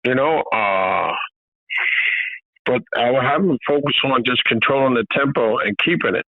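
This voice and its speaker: A man being interviewed as a boxing trainer over a video call, talking in a relaxed way with many "you know"s. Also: mid-range voice, moderate pace, dry recording. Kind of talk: casual remote interview. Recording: tinny phone-like call audio.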